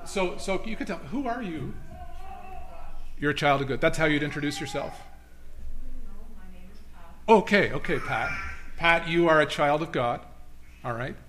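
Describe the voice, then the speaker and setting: A man's voice: fairly high pitch, moderate pace, long pauses, fairly bright tone, slight background noise. A man giving an interactive sermon in a church, talking casually with the congregation, with many restarts and self-deprecating asides. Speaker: guest preacher. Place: church sanctuary.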